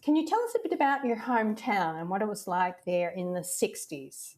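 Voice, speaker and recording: Woman, podcast host, mid-pitched, clear, measured, scripted intro, interview questions. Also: animated, a little mellow.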